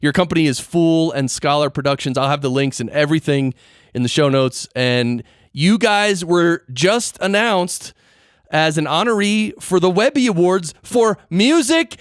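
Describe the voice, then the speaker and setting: A man's voice: on the high side, lively intonation, normal speed, clean tone, dry recording, studio quality. A man hosting a podcast in casual interview chat, playful and slangy, given to exclamations. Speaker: podcast host.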